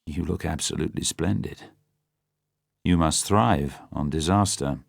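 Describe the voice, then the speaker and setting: A man doing a gruff, clipped spy. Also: a bass voice, measured pace, dry recording, studio quality, heavily acted. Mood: curt. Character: hardened male spy, gruff and curt.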